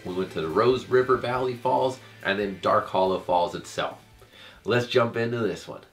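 A man's voice: fairly low pitch, moderate pace. A man narrating and commenting on a hike in a casual travel vlog style. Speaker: hiking vlogger and narrator. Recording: loud music behind the voice. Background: music with plucked guitar.

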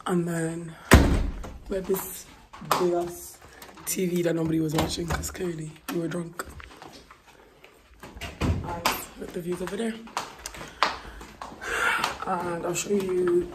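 Hoarse young woman filming a house tour. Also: fairly low pitch, little pitch movement, slow, long pauses. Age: 20s. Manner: hoarse, lost her voice. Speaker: vlogger. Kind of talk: casual house-tour vlog. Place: large house and garden, indoors and outdoors. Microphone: phone held at arm's length. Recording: phone audio with clear background noise.

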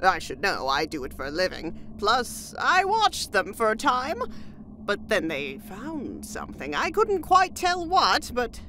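A woman's voice: mid-range voice, wildly swooping pitch, unhurried, sharp tone, slight background noise, full character voice. A woman playing an eccentric, cryptic hermit seer in a fantasy audio drama, theatrical and riddling.

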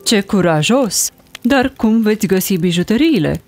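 A woman voicing a kind, gracious heroine in an animated fairy tale, with a gentle, sincere manner. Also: medium pitch, soft background music, close to an everyday voice.

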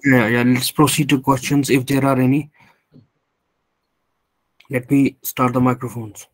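A man moderating an online session, briskly inviting questions from attendees. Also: mid-range voice, flat delivery, poor audio.

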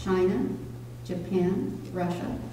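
An older woman at a podium in a community hall, reading a prepared introduction in a measured, careful way. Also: lowish voice, mellow. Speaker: event organizer introducing a speaker. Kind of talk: prepared introduction read from notes. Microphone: stand microphone at podium, picked up distantly. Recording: echoey hall from far off, faint noise.

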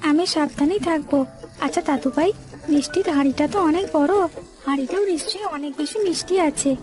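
A woman's voice: high voice, fast, a little mellow, faint music behind, natural voice. A woman voicing an eager, childlike little grandson in a cartoon story. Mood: excited.

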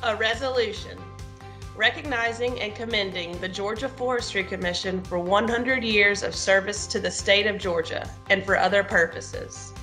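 Bright young woman reading formally. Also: mid-range voice, normal speed, soft background music. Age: young adult. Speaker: forestry employee reading a resolution. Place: home room indoors. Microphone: webcam video call.

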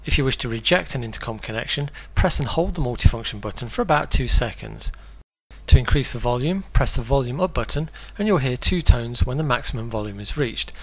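A man reading a scripted instructional product guide in a clear, step-by-step way. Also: mid-range voice, animated, brisk.

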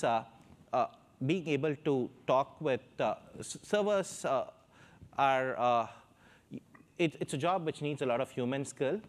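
A man with an Indian accent, speaking as a panelist on a stage through a lapel mic, hesitant with many fillers. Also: mid-range voice. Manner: hesitant, many fillers, gestures while talking. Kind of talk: panel discussion. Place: stage in front of a backdrop.

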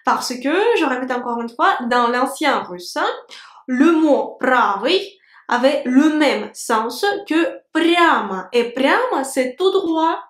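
A young woman with a Russian accent, a native Russian speaker, teaching a language lesson to camera in French with clear, careful enunciation. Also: sits high, lively intonation, reverberant. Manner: clear, slow enunciation of words with gestures. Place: indoor room with a plant. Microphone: camera at medium framing.

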